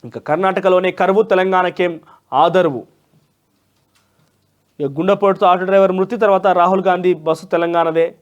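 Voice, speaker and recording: A man's voice: high-pitched, steady tone, normal speed, pauses often, dull audio. A man with a Telangana Telugu accent presenting a newspaper review with opinionated commentary in a conversational, emphatic way. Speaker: news presenter.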